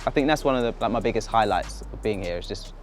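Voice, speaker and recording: Man, lowish voice, thoughtful rambling reflections, faint music under. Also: lively intonation, quick pace. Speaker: company staff. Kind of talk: casual reflective talk to camera.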